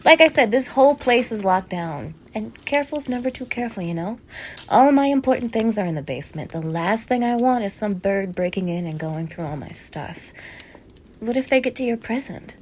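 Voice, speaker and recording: A woman acts out a young neighbour in an intimate ASMR roleplay, speaking at a middling pitch. She is casual and coaxing, teasing with a hushed edge, her friendliness covering an obsessive menace. Clear ambient noise sits behind the voice. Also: expressive, moderate pace, close to an everyday voice. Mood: condescending, quietly menacing.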